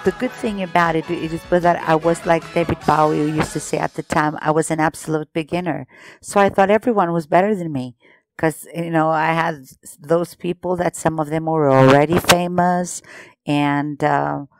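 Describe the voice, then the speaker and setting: A woman. She chats freely as a podcast guest, restarting her sentences and laughing easily, and soft music sits faintly behind her. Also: lowish voice. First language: Portuguese. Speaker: guest, former contest singer. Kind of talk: casual interview chat.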